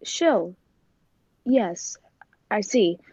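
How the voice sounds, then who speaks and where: An actress playing a sly, scheming circus tiger tamer in a 1940s-style radio play, speaking in a pointed, probing way. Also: female voice, mid-range voice, expressive, drawn-out words, warm tone, slightly rough, no room sound, exaggerated voice. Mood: guarded.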